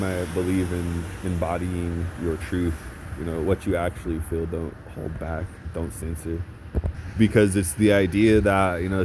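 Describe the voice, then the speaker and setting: A man's voice: low voice, background noise. A man giving a blunt, profane monologue, full of sarcastic asides. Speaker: vlogger.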